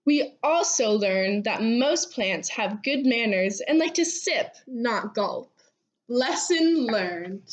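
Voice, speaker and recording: A woman reading a children's storybook aloud expressively, with animated delivery and drawn-out phrase endings. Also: a little high. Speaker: read-aloud storybook reader. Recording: dull, lo-fi sound.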